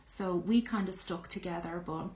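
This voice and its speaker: A woman's voice: lowish voice, normal speed, darkish tone, a little hiss or hum. Reflective Irish woman in an echoey room. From Ireland. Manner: reflective, frank, many fillers and restarts. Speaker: vlogger. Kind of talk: personal storytelling to camera.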